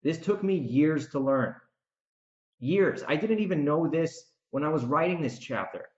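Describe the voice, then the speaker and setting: A man hosting a podcast as its author, giving an unscripted, opinionated monologue, emphatic, with repeated words and dramatic pauses. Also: on the high side, expressive, darkish tone, roomy sound, muffled recording.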